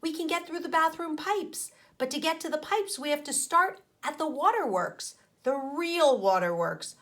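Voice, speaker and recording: A woman's voice: high voice, animated, moderate pace, a bit bright, voice pushed up. A woman voicing an earnest, eager schoolboy in a children's storybook read-aloud, explaining things emphatically. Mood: eager, instructive. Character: Arnold, earnest schoolboy turned water drop, leading his class.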